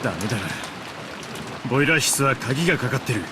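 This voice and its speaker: A man's voice: mid-range voice, expressive, rapid-fire, background noise, close to an everyday voice. A male actor playing a cocky, blunt middle-aged tough guy with slangy speech in a Japanese visual novel.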